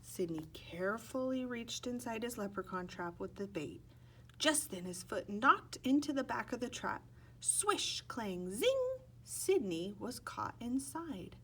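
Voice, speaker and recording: A woman's voice: on the high side, big pitch swings, unhurried, a bit bright, slightly rough. A woman narrating a children's picture book expressively, stretching words and acting out sound effects. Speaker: storybook reader.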